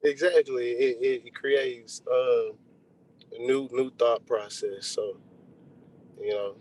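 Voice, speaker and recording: A man's voice: mid-range voice, fairly even intonation, takes it slowly, a little mellow, low-quality recording. Male interview guest, laid-back and reflective, casual conversational delivery. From Texas, United States. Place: remote video call.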